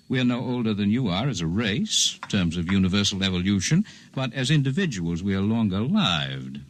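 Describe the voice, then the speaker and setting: Lively-pitched, deep, erudite alien healer. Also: male voice. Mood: lecturing. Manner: formal, precise, scholarly phrasing. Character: calm, erudite alien healer studying humanity, long-lived, gently condescending. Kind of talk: 1950s radio drama acting. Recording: 1950s radio broadcast recording.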